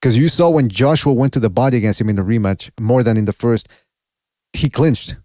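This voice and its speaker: Male podcast host, casual and profane, dry close mic, mid pitch. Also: a little fast, dry recording. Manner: casual and profane, thinking out loud. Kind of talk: casual boxing podcast chat.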